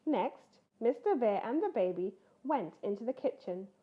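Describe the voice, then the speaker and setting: Woman, children's storyteller, highish pitch, very lively intonation, expressive read-aloud, dull lo-fi recording. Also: normal speed. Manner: animated, acts out character voices. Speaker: storyteller reading a picture book aloud.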